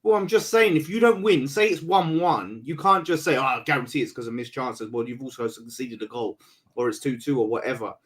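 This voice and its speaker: A man's voice: medium pitch, fairly quick, fairly bright tone, husky. Challenging man on a football fan panel. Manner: challenging, questioning. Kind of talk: heated football fan debate.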